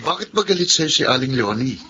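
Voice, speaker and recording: An actor with a Filipino accent playing a sympathetic, earnest young neighbour in a radio drama, sounding concerned. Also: male voice, mid-range voice, very animated, a little fast, crowd noise, lightly acted. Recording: dull lo-fi audio with background noise.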